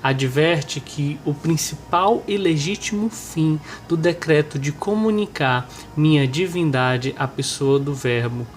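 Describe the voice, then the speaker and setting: A Brazilian man with a nasal, hoarse voice, stuffed up with a cold, presenting and reading a religious book aloud. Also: on the high side. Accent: Brazilian Portuguese. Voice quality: nasal, congested. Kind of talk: informal remarks and devotional reading aloud.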